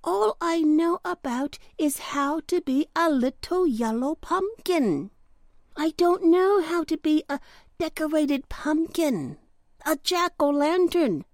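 A woman's voice: high voice, big pitch swings, takes it slowly, dry recording, clean studio sound, voice pushed up, full character voice. A woman voicing a small, worried, earnest young male pumpkin in a children's storybook, with a plaintive, childlike manner. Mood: sad, worried.

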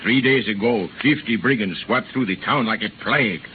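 A man's voice: lowish voice, normal speed, phone-quality sound, natural voice. An actor playing a devout, resolute, fatherly bishop with solemn oratory in a hissy 1930s radio drama.

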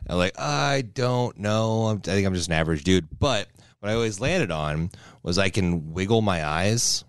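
Thirties man, co-host, self-mocking rambler, slightly low voice, dry close recording. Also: lively intonation, moderate pace, clean tone, dry recording. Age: 30s. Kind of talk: casual podcast banter.

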